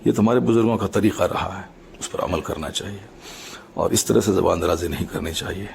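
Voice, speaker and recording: Very gravelly, low-voiced man. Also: darkish tone, slight background noise. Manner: formal, measured, halting in short phrases. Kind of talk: explanatory public statement.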